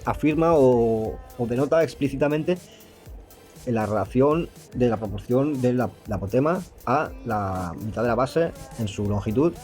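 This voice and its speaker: Hesitant man explaining geometry, dark voice. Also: medium pitch. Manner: hesitant, with frequent restarts and fillers; stumbles when reading English passages aloud. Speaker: math explainer. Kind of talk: explaining geometry, reading notes aloud.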